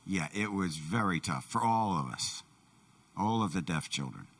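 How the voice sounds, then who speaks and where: A man's voice: deep voice, animated, moderate pace, a bit bright, recorded from a distance. A man interpreting for a deaf guest, voicing his answers in plain, brief sentences. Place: church sanctuary.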